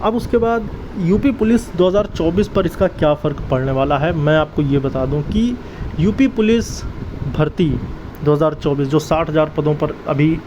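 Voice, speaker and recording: A man's voice: fairly high pitch, moderate pace. A man giving informal exam updates and advice in an earnest, urging way, addressing listeners like brothers. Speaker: exam-update video creator and advisor. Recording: dull lo-fi audio, clear outdoor traffic-like noise.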